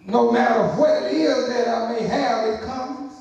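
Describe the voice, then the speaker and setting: Middle-aged male pastor, high voice, slow and deliberate delivery, captured from afar in a reverberant sanctuary. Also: steady tone, gravelly, highs cut off. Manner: preaching with rising, shouted emphasis and call-outs to the congregation. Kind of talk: sermon. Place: church sanctuary, large, indoors. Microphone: pulpit microphone, far camera. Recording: very echoey church hall from a distance.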